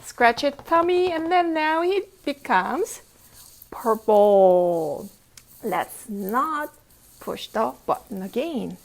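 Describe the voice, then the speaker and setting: A woman with a high voice reads a picture book aloud as a teacher of young children. Her delivery is slow and swings widely in pitch. She is playful, asks the listeners questions and repeats phrases for them. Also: vocal fry, low-quality recording. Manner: animated, playful, with exclamations and sound effects.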